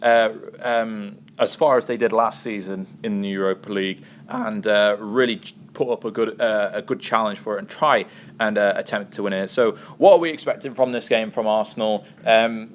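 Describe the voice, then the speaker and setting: A man hosting a casual sports preview show, chatting with a co-host and often pausing with ums and uhs. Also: on the low side, a little hiss or hum.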